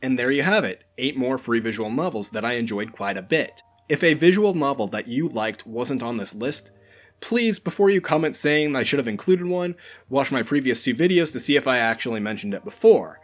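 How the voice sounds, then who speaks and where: Man narrating a countdown with lively pitch. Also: brisk, faint music behind. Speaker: host of a video game recommendation countdown. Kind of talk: scripted narration of a top list.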